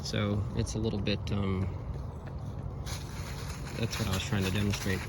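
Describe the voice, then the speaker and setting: Low-voiced man over very loud noise. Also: level tone, vocal fry. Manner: halting, rambling, matter-of-fact delivery. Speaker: reviewer of handheld two-way radios. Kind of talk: informal gear review with technical detail. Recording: very noisy, radio static and chatter. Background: radio hiss and transmissions.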